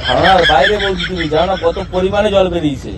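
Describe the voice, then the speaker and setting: A man on a prank call, slyly suggestive and given to chuckling as he teases the other person. His voice sits a little high for a man. Steady noise runs clearly behind him. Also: fast talker. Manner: playful, suggestive, chuckling through his lines. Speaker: prank caller. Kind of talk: teasing prank phone call full of double meanings.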